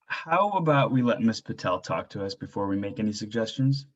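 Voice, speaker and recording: A man acts out a worried, stressed dad in a contemporary drama reading. His voice is lowish and a bit husky on a dull recording, and he talks tersely and earnestly, with quiet frustration. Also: expressive, fairly quick. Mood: firm. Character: worried, stressed stay-at-home father, quietly frustrated husband. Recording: dull, low-fidelity microphone sound.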